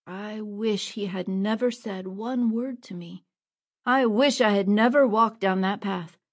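A woman's voice: mid-range voice, a little slow. A woman voicing a proud, angry young male archer who speaks boastfully. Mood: regretful. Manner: boastful, defiant.

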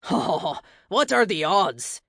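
An eager, bookish young lad played by a woman narrator from a fantasy audiobook. He is excitable and earnest with a sassy streak, and his pitch leaps around in a close, dry recording. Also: unhurried, no room sound. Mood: amused.

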